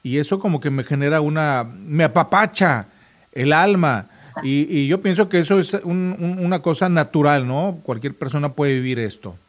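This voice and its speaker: Higher-voiced Mexican man hosting radio. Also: normal speed. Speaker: radio show host. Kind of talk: casual nostalgic radio chat. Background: background music.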